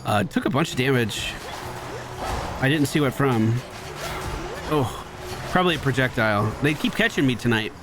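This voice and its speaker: Animated man doing gameplay commentary. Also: medium pitch, moderate pace, long pauses, music in the background. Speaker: gaming video host. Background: video game sounds.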